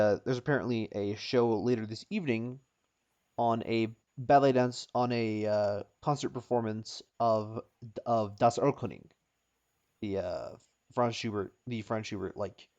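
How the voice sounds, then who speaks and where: A man's voice: mid-range voice, a little mellow, highs cut off. A man improvising narration as a tabletop game master, often searching for words and repeating phrases as he describes things.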